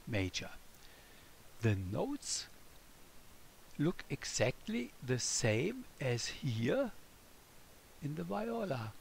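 A man with a German accent teaches music to children at a slow, deliberate pace. His voice sits fairly high and swings widely in pitch. He speaks in simple, playful phrases straight to the listener. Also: creaky, slight background noise. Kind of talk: scripted children's music lesson, speaking as a melody.

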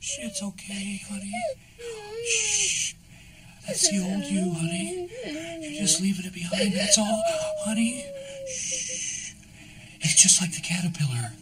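An actress playing a creepy, soothing woman who hushes and coos at a captive child, calling her honey. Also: very high voice, huge pitch swings, a little slow, pauses often, heavily acted. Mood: cooing. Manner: hushing, cooing, repeats "honey". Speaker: maternal captor. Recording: film audio from a distance, music behind.

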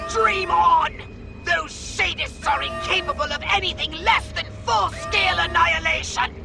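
A melodramatic alien commander in a video game cutscene. The voice is high and gravelly, fretful and declamatory, with music behind it. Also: male voice, a little slow, heavily acted. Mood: panicked. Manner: theatrical, declamatory.

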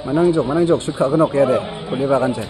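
A man casually commentating a football match from the sideline outdoors, shouting encouragement and laughing often. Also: medium pitch, quick pace, over loud music. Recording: crowd noise at an outdoor match. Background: crowd.

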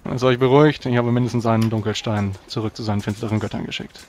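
A man speaking formally and deliberately as a proud, pious knight in a tabletop role-playing game. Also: lowish voice, fairly even intonation, darkish tone. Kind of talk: in-character role-play narration. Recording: clear background noise.

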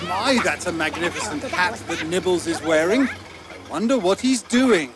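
A man's voice: high-pitched, lively intonation, moderate pace, over a noisy crowd, heavy background noise. A man playing a cheery, mock-kindly British narrator of a children's storybook video game, theatrical and sweetly condescending. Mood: delighted wonder. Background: music.

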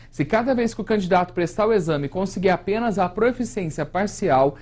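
A young man anchoring a regional evening newscast from a studio, reading the news in a steady, formal way. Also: sits high. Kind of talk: scripted news presenting.

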